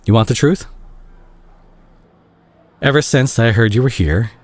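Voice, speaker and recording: A man playing a remorseful, tender doctor ex-boyfriend in an intimate audio roleplay, speaking softly and hesitantly to the listener. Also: mid-range voice, unhurried, no echo, lightly acted. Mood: confessional, wistful. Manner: hesitant, gentle, self-conscious, sighing. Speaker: audio drama voice actor.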